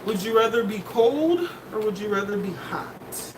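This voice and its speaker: A woman with a deep voice giving a casual tarot reading, blunt and candid, swearing and laughing between points. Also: expressive, moderate pace, far from the mic, background noise. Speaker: tarot reader.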